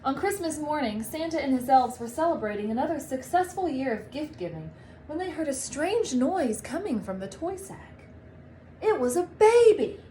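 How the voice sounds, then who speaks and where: A woman reading a story aloud to children like a teacher, with animated, drawn-out storybook phrasing. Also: high-pitched, slight background noise, poor audio. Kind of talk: expressive read-aloud storytelling.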